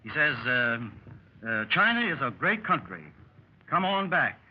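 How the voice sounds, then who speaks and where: A man's voice: fairly high pitch, sing-song, measured pace. An actor playing a dashing lieutenant adventurer telling his escapade with old-fashioned exclamations, in 1930s radio style. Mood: jaunty recounting. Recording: muffled 1930s radio recording with music.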